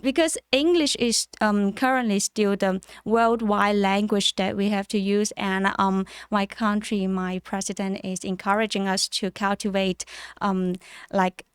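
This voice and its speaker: Soft-spoken Chinese woman with a smooth voice. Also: medium pitch, steady tone, no room sound, clean studio sound. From China. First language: Cantonese. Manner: measured, with frequent ums and pauses. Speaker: interview guest, MBA student. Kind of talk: casual interview answers. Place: in person at a summit.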